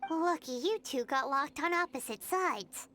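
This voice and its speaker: A woman's voice: high voice, expressive, measured pace, bright, forceful tone, full character voice. A woman voicing a tiny, chirpy, childlike fairy companion in an anime-style game, perky and cartoonish. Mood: excited. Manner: perky, cartoonish, refers to self by name. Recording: game music clearly behind the voice.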